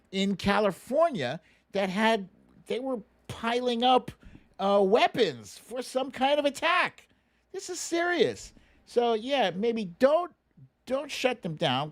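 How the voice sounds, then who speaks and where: A man's voice: a little high, no room sound. A middle-aged American man hosting a casual livestream, informal and opinionated, often repeating words for emphasis. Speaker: livestream host.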